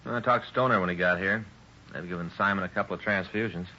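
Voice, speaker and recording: A man's voice: sits low, quick pace, bright, forceful tone. A man playing a matter-of-fact police detective in a 1950s radio drama, giving terse, factual reports. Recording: dull, noisy 1950s radio recording.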